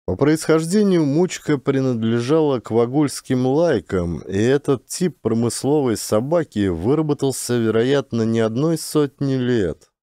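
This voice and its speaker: A man narrating a literary short story as an audiobook, in a measured, expressive storytelling manner. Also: fairly low pitch, dry recording, studio quality.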